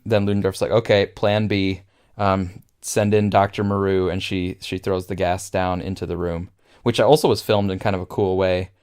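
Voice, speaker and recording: A man's voice: low-pitched, darkish tone, smooth. A man co-hosting a podcast, analysing film in a casual conversational way. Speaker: podcast co-host.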